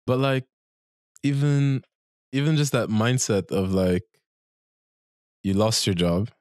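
A man's voice: medium pitch, fairly even intonation, slow, a little mellow, no echo, studio quality. A man in his twenties hosting a casual conversation, informally reflecting and tagging his points with "right?", speaking into a handheld microphone. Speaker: talk show host. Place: small barbershop, indoors.

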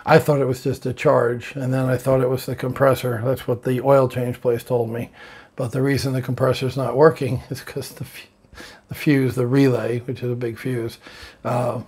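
A man's voice: medium pitch, level tone, moderate pace, darkish tone, slightly rough. An older man chatting casually to his camera from a bedroom, rambling through a story and laughing at it. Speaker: vlogger. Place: bedroom, indoors.

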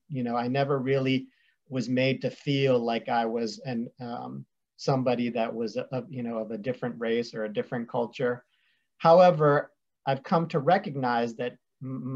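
A man's voice: medium pitch, darkish tone. A man in his thirties from West Virginia, an attorney speaking in a friendly, thoughtful way on a video call. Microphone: video call webcam. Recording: dull lo-fi webcam audio.

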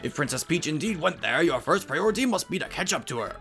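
A man voicing a shriveled old Goomba professor, an excitable, fussy scholar who lectures and exclaims, in a game fan dub. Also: fairly high pitch, fast, soft background music. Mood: earnest.

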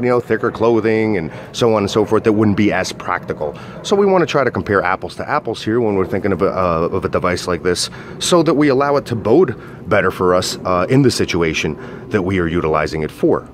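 Male gear reviewer, low register, informal hesitant how-to delivery, faint ambient noise behind. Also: expressive, a little fast. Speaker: product reviewer and outdoor coach. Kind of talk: casual product demonstration and review.

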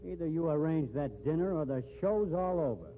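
An actor playing a stern, jealous, blunt friend, lecturing forcefully in 1950s radio style. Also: male voice, on the high side, lively intonation, fairly quick, natural voice. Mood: threatening. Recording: tinny, noisy 1950s radio recording.

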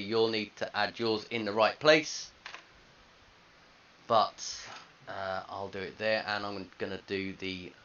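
Low, bright-voiced man giving a software tutorial. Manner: casual, instructional, thinking aloud. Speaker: design teacher. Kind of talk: screencast software tutorial, informal step-by-step narration. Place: indoors at a computer. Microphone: headset microphone. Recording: dull lo-fi headset audio, faint hiss.

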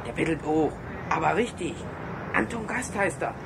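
A man's voice: a little high, lively intonation, moderate pace, creaky, background noise. An actor playing a cheeky, sly teenage boy blackmailer, smug and insinuating, in a 1960s German radio play.

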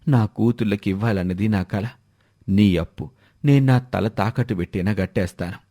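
A man's voice: lowish voice, normal speed, dark tone, pitched below the performer's own voice, close to an everyday voice. A man voicing an old, meek father-in-law in debt, pleading humbly. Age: older.

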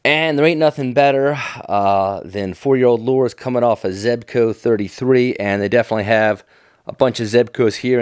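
Low-voiced folksy American man. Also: darkish tone, clean tone, no room sound, clean studio sound. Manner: folksy, enthusiastic, nostalgic. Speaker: fishing tackle vlogger. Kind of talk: casual off-the-cuff catalog commentary.

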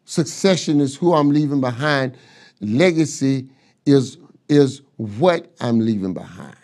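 A man's voice: mid-range voice, measured pace, darkish tone. A middle-aged man preaching a sermon from a desk in a study, building his points through rhetorical questions and repetition on a lapel mic. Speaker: pastor. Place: pastor's office with bookshelves, seated at desk.

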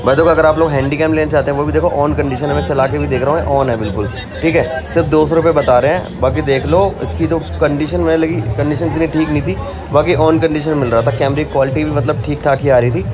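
A man's voice: fairly high pitch, steady tone, quick pace. A young man vlogging as he walks through a street market, chatting as he points out goods and their prices. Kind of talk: walking market tour vlog. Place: busy outdoor street market. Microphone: handheld phone camera. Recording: busy crowd behind. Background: crowd chatter.

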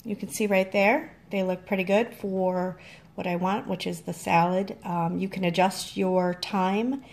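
A woman's voice: mid-range voice, steady tone, a little slow, gravelly, a little mellow. A woman chatting casually as she demonstrates cooking, rambling and correcting herself, filmed on a phone in her home kitchen. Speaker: farm vlogger. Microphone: phone held in hand.

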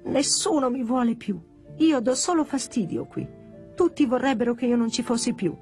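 A woman's voice: fairly high pitch, expressive, normal speed, darkish tone, soft background music, pitched above the performer's own voice. Woman as a plaintive, rejected little toy doll. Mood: hurt, forlorn. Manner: plaintive, wounded. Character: sad, rejected favourite toy doll, male, childlike.

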